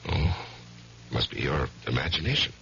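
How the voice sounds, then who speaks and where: An American actor playing an uneasy, guilt-haunted middle-aged lawyer in a 1970s radio drama. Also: male voice, very deep voice, sing-song, normal speed, creaky, sharp tone, a little hiss or hum, heavily acted. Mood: evasive banter. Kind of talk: 1970s radio drama acting, first-person narration and dialogue. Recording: dull vintage radio recording with music.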